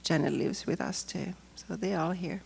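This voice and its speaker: A woman from Finland with a Finnish accent, speaking English as a second language and giving a personal testimony in a careful, hesitant way with frequent pauses. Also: fairly low pitch, vocal fry, a little mellow, slight background noise. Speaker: church member giving testimony.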